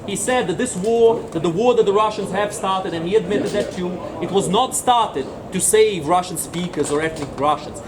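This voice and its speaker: A man's voice: high-pitched, background noise. A man hosting a stream, reading a book aloud and commenting with sarcasm and indignation. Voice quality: mocking, indignant.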